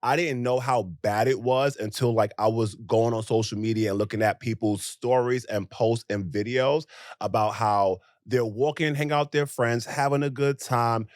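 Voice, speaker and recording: A young American man co-hosting a podcast in casual chat, talking in an animated, emphatic way with rhetorical questions. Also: medium pitch, moderate pace, dry recording, clean studio sound. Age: young adult. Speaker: podcast co-host.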